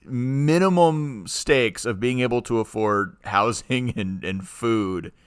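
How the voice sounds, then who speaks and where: A man's voice: medium pitch, takes it slowly, clear, resonant tone, no room sound, studio quality. A man co-hosting a podcast in casual, opinionated chat, speaking emphatically and argumentatively and often digressing. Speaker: podcast co-host.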